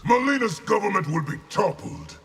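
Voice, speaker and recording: A brutal, contemptuous warlord from a fighting game. His raspy, gravelly voice booms with command and scorn, moving freely in pitch. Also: big pitch swings, normal speed, fairly bright tone, heavily acted. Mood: scornful. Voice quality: rough, raspy. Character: brutal, contemptuous warlord general, big and proud. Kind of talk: dramatic fighting-game dialogue.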